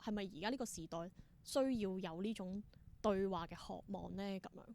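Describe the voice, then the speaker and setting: Mellow-voiced Hong Kong Cantonese woman. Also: mid-range voice, normal speed, gravelly. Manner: thoughtful, mixes in English words. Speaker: interview guest, theatre performer and creator. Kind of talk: reflective interview conversation.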